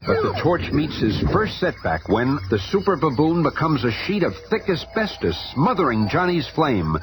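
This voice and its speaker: A man's voice: fairly high pitch, big pitch swings, normal speed, heavy background noise. A man narrating a 1970s superhero radio serial as a dramatic comic-book storyteller. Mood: suspenseful. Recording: loud music bed, old radio show.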